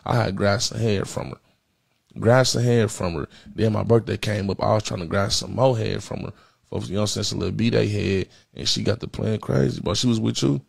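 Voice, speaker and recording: Raspy guy in his 30s doing a prank call, talks quick and slangy, super dry close mic. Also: fairly low pitch, no echo. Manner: repetitive, insistent, streetwise. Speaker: prank caller. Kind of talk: improvised prank phone call, street slang. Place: indoor streaming studio with backdrop. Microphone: close podcast microphone on a stand, headphones.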